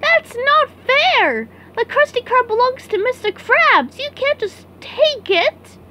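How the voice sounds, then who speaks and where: Woman doing an excitable, very high-pitched cartoon sponge. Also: slow, full character voice. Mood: indignant. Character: excitable, naive cheerful young male sea sponge. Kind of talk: amateur comic dub, cartoon voice acting.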